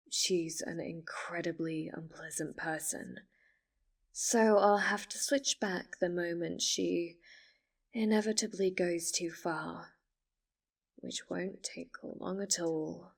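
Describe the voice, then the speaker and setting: Woman acting a slow, formal, possessive fox spirit. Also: fairly low pitch, recorded from a distance. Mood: composed, cold. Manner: formal, measured, composed wording. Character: female fox spirit, polite, obsessively devoted, quietly menacing. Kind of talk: ASMR roleplay acting.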